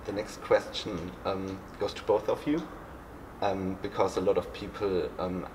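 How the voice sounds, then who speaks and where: A man's voice: sits low, steady tone, a little slow, background noise. A man with a German accent hosting an interview, asking questions in a friendly, hesitant way with many fillers. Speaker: interviewer, channel host. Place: backstage room at a theatre.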